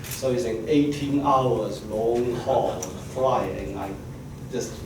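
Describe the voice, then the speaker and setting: A man giving a conference keynote in a soft, courteous, formal way, stuffy from allergies. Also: mid-range voice, slow pace. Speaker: keynote speaker, geographer. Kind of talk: academic conference talk. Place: conference room. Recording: very echoey room, distant mic, noisy.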